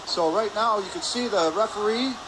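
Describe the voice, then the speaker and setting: A man giving live colour commentary at a hockey game, explaining play in a measured, conversational way. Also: high-pitched. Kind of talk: live sports analysis. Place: indoor ice hockey arena. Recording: clear rink noise behind. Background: arena ambience and noise.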